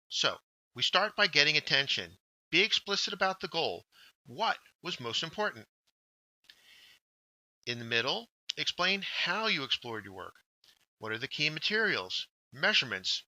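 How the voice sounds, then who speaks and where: A man narrating a scripted instructional workshop, explaining step by step with deliberate emphasis on key words. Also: mid-range voice, bright, forceful tone, no room sound, low-quality recording. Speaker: workshop instructor, journal editor.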